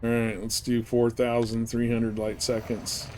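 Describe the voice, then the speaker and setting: A man's voice: mid-range voice, monotone, unhurried, darkish tone. Man casually streaming a game over noise. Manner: informal slangy talk. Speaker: live streamer playing a space flight game. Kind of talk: casual live gameplay commentary.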